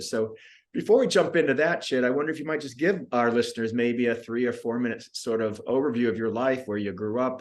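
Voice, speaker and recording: A man hosting an interview podcast, introducing his guest with effusive praise. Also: medium pitch. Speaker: podcast host.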